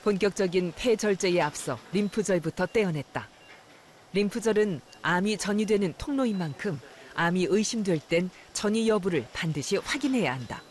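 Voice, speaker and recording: A narrator reading a scripted medical documentary in a measured, formal way. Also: medium pitch, expressive. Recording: music behind the voice. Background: background music.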